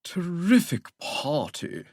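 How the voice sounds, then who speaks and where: A man voicing a smug, unflappable English gentleman villain for an audiobook, with a posh, droning, mock-courteous manner. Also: on the high side, very animated, slow pace, no room sound, clean studio sound, pitched above the performer's own voice, exaggerated voice. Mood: breezy, mocking. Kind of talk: audiobook character voice.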